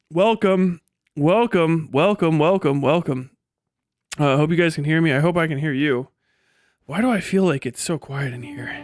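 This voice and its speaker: A man's voice: fairly high pitch, fairly bright tone, clean tone, no echo, clean studio sound. A man in his late thirties hosting a casual livestream, rambling and swearing freely with a self-deprecating manner. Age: late 30s. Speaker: livestream host.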